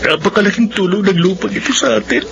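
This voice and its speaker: An actor playing a humble, apologetic young grandson with a Filipino accent, earnest and pleading in a radio drama. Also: male voice, high-pitched, fast talker, gravelly, highs cut off. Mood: apologetic, sorrowful. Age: young adult. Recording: band-limited radio drama, music behind.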